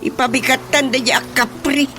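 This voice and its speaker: A man with a Filipino accent playing an excitable, dismissive rural father in a radio comedy drama. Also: very high-pitched, measured pace. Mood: flustered bluster. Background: music.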